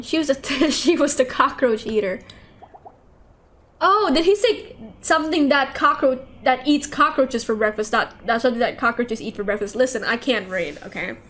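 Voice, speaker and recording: A woman's voice: high-pitched, a bit bright. A woman with a nasal voice live streaming a game, chatting playfully and reading visual novel dialogue aloud. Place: indoors at a desk, on webcam. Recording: clear background noise.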